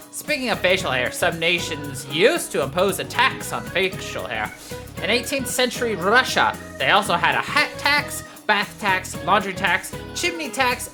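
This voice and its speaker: A woman voicing a chatty, droll school teacher lecturing a class as she reads video game dialogue. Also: on the low side.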